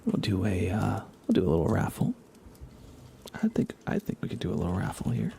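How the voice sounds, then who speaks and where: A young man softly murmuring on an ASMR gaming livestream in an unhurried, casual way, often close to a whisper, near the mic. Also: low-pitched, wildly swooping pitch, slight background noise. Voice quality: soft, hushed, often slipping into breathy whisper. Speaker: livestream host.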